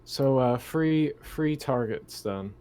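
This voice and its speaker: A man's voice: medium pitch, lively intonation, slow, mellow, clean tone. A man chatting casually as a player in an online tabletop roleplaying game. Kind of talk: casual chat during online gaming. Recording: faint background noise on voice call.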